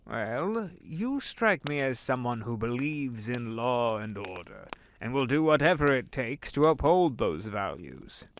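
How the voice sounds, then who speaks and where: A man's voice: mid-range voice, very animated, a little slow, a little hiss or hum. A man playing an urbane, sly old devil in an audio drama, wordy and courteous, savoring his phrases. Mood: probing, polite. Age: older.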